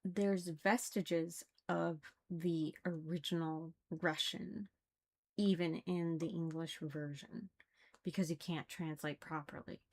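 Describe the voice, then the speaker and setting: A woman with a slightly low voice talks very slowly in casual commentary, as if working out her thoughts aloud. She is critical, halting often and repeating phrases to drive them home. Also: steady tone. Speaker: reader commenting on a religious text.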